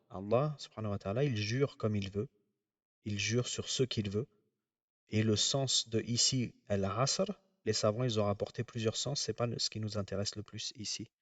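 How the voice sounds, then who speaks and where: Male religious teacher, lowish voice, steady explanatory lecture, dry sound, a bit off-mic. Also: dry recording, recorded from a distance. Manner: measured, explanatory, pauses often.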